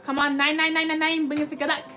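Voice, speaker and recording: Woman streamer, high and robotic-sounding, chatty and excitable, faint music behind. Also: quick pace. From United States. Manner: chatty, excitable cheering at spins. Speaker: slot machine live streamer. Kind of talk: live stream commentary reading chat.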